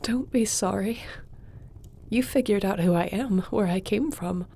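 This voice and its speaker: A woman playing a devoted sorceress and court mage who speaks softly and measuredly. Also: medium pitch, slightly rough. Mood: moved. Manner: soft, measured, laughs easily. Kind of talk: audio roleplay acting.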